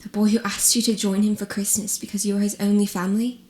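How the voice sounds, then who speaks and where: A woman in a radio drama playing a solemn spirit of the past, speaking in a measured, firm way. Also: mid-range voice, level tone, darkish tone, natural voice.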